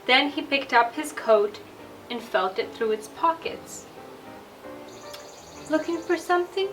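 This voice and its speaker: A woman reading a children's bedtime story aloud in a deliberate, expressive storybook delivery. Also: a little high, over music, poor audio. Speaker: storyteller and show host.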